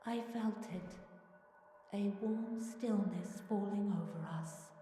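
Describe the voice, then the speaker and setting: Slow, echoing, weary female spirit, voiced by a woman. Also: medium pitch, voice pushed up, close to an everyday voice. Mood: tender, sorrowful. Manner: hushed, slow, solemn delivery. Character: gentle weary female spirit pleading to be taken away. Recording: echoing hall reverb, music behind.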